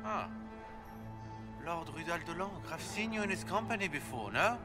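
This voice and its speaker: Game role: man as foppish drunk nobleman, halting and animated, high voice, clear music behind. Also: lightly acted. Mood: tipsy, curious. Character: tipsy, nosy, foppish nobleman at a ball. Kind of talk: video game dialogue acting.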